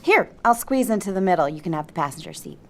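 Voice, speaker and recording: A woman's voice: mid-range voice, animated, fast, fairly bright tone, lightly acted. An actress playing a sweetly motherly pregnant wife, coaxing and full of endearments, in a naturalistic audio drama. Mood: sweet, welcoming. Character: sweetly motherly pregnant wife, solicitous toward a teenage girl.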